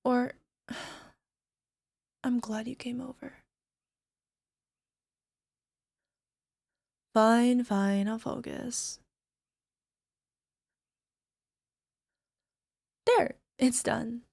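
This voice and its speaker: A woman's voice: a little high, fairly even intonation, takes it slowly, gravelly, a little mellow, exaggerated voice. A woman playing a bubbly young alchemist who turns obsessively lovestruck, in a hesitant, giggly ASMR roleplay manner that slips into whispers. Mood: focused, sheepish. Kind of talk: ASMR girlfriend roleplay audio drama.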